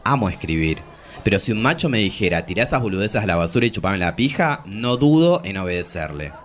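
An actor playing a bawdy, melancholic travesti poet in their forties in a theatrical, confessional radio drama. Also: male voice, fairly low pitch, big pitch swings, a little fast. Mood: frank. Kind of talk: radio drama dialogue and monologue.

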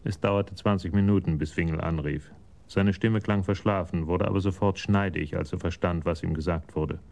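A man giving sober, measured narration of a scripted crime story for a radio drama. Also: low voice, steady tone, creaky, a little mellow.

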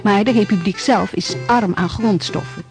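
An actress narrating a historical radio play, formal, measured and rhetorical. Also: female voice, mid-range voice, lightly acted. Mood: stately. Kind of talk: scripted narration. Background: music.

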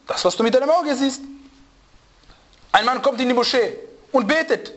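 A man's voice: very high voice, normal speed. A man teaching a religious lesson to students, emphatic and repetitive, with frequent rhetorical questions, sometimes searching for words. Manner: emphatic, repeats key phrases, asks rhetorical questions.

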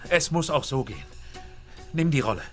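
An actor playing a driven, exhausted film director in a German radio play, terse and commanding. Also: male voice, mid-range voice, very animated, a little fast, music in the background, close to an everyday voice. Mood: hurried, commanding.

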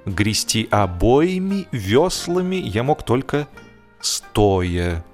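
A man narrating a humorous literary story in an expressive, theatrical way, with deliberate drawn-out pauses. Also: fairly low pitch. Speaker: audiobook narrator. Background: music.

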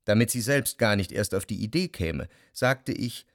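An actor playing an introspective, doubting gentleman who narrates his love in a literary radio drama. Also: on the low side, lively intonation, normal speed, no room sound, clean studio sound. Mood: pained, confessional. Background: music.

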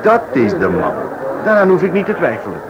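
A man's voice: a little high, huge pitch swings, a little fast, highs cut off, loud music behind the voice. An actor playing a cheeky, talkative male servant in a radio detective play, theatrical and colloquial. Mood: indignant, searching. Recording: hissy old radio play recording.

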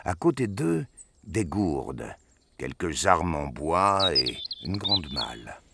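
A man's voice: deep voice, sing-song, slow, creaky, background noise, exaggerated voice. A man reading scripted narration for an audio drama as its storyteller.

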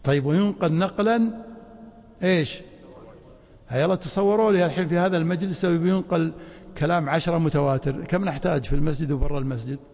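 A man teaching a class on Islamic law in a mosque, quizzing students with quick rhetorical prompts. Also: a little high. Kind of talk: classroom lecture with questions to students. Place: mosque study circle. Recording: dull lo-fi recording in a reverberant hall.

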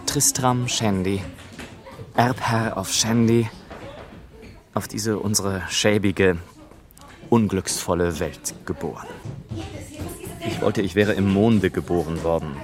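An actor playing a wry gentleman author who narrates his own life in a mock-solemn, rhetorical manner for a literary radio play. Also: low voice, slow, long pauses, a crowd in the background.

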